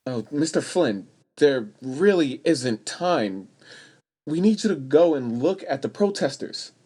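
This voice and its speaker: A man's voice: fairly high pitch, lively intonation, unhurried, a little mellow, husky. A man playing an earnest, polite, easily shocked young man in a radio play, proper and pleading.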